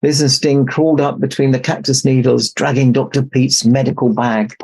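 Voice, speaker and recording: A man narrating a children's story aloud as an expressive storybook reader. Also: medium pitch, moderate pace, breathy edge, low-quality recording. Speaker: storybook reader in Santa persona.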